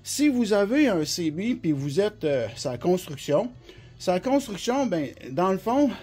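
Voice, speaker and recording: A man's voice: sits high, big pitch swings, unhurried, a little mellow, soft background music. A man with a Québécois French accent and a touch of flu, giving an informal, colloquial, step-by-step product explanation and often repeating himself. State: has the flu, coughing now and then. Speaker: CB radio specialist giving a product demonstration. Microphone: phone held by the speaker.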